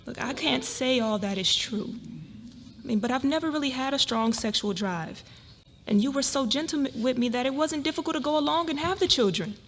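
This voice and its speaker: A woman's voice: sits high, brisk, a little hiss or hum. A woman playing a shocked, distraught wife and mother in a dramatic reading, exclaiming and pleading in disbelief. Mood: defensive.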